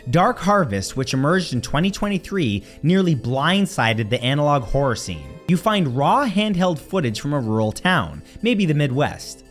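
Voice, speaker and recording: A man presenting a countdown in dramatic scripted narration, suspenseful and emphatic, slowing down on key words. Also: a little high. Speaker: presenter of a countdown list show. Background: music.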